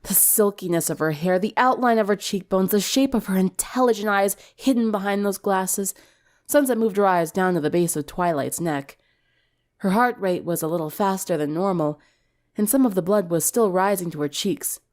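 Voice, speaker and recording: A woman narrating a story dramatically in an expressive, theatrical way. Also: mid-range voice, moderate pace. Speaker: fan fiction reader.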